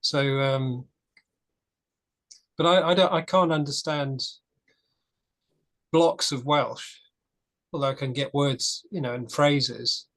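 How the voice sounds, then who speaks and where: A man's voice: mid-range voice, slow pace, pauses often, a bit bright. A man in a casual church discussion group, talking frankly and telling a story with restarts.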